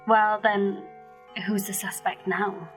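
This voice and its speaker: An actress playing a nervous, soft-hearted widowed mother with a breathy, husky voice in an amateur radio play. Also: mid-range voice, fairly even intonation, takes it slowly, soft background music, lightly acted, rough recording. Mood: flustered, defensive.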